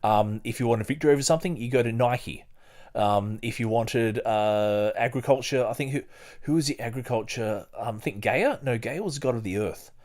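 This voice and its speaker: A man hosting a podcast, talking casually and haltingly as he thinks aloud or reading from a book. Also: medium pitch, a little mellow. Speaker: podcast host.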